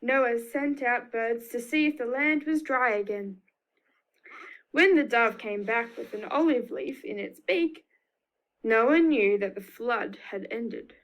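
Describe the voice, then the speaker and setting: Slow, higher-pitched Australian woman reading stories. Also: low-quality recording. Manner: expressive, emphatic repetition with sound effects. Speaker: children's storyteller. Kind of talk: picture-book read-aloud for young children.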